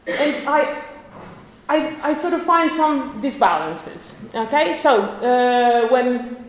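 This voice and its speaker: A woman's voice: sits high, lively intonation, slow pace. Woman lecturing in a very echoey hall. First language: Croatian. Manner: chatty, self-correcting, with frequent restarts and small laughs. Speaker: conference lecturer. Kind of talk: academic conference talk.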